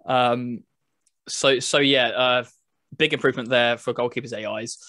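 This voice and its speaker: Male podcast host, mid-range pitch, conversational and informal game-review chat, dry recording. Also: steady tone, unhurried, pushed and bright, no echo.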